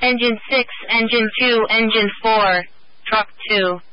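A man's voice: sits high, fairly even intonation, a little slow, dry recording. A man working as a fire dispatcher, speaking in clipped procedural phrases over a two-way radio. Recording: muffled band-limited dispatch radio feed.

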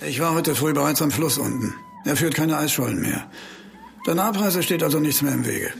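A man's voice: a little high, fairly quick, vocal fry, slight background noise. A man acting a stern, suspicious, protective older brother in a German audio drama, curt and commanding. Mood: cold, firm.

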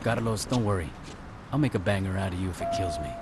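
Gruff, low-voiced gang boss. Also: male voice, expressive, fast talker, very noisy. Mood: resolved. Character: brash, ruthless male gang boss. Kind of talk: video game cutscene acting.

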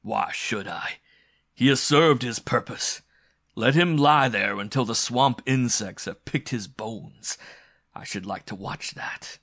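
A man's voice: mid-range voice, slow pace, vocal fry, fairly bright tone, no echo, studio quality, exaggerated voice. A man voicing a brutal, scheming male villain in a throaty, guttural, boastful way for an adventure story. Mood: cruel contempt. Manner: boastful, menacing.

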